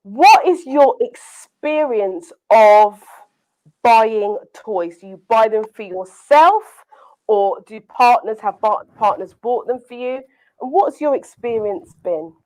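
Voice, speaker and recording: A woman's voice: on the high side, expressive, slow pace, a little mellow, low-quality recording. A woman with a British accent hosting a live online talk show over a video call, laughing often and talking straight to her viewers. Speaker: host.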